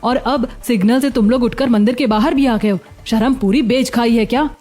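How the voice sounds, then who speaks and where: A woman's voice: fairly high pitch, normal speed, dark tone, close to an everyday voice. A woman voicing a haughty, scornful lady in a cartoon story, scolding with contempt. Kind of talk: Hindi cartoon story acting.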